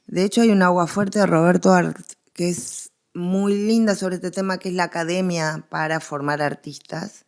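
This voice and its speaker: A woman with an Argentine accent speaking as an expert guest in a conversational scholarly interview, explaining in an animated way and repeating phrases for emphasis. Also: fairly low pitch, normal speed.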